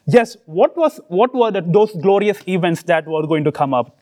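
High-voiced Indian man preaching. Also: lively intonation, mellow. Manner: earnest, speaks in short phrases and pauses for the interpreter. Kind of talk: sermon with live interpretation.

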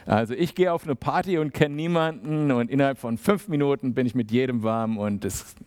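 Male preacher, casual and talky, mid-pitched, plays out little scenes. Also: brisk, a little mellow, smooth. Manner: conversational, acts out small dialogues. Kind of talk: sermon.

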